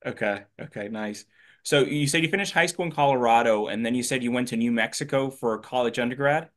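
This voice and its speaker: Host, middle-aged man, relaxed and rambling, mid-pitched. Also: brisk. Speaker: show host. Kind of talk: conversational interview.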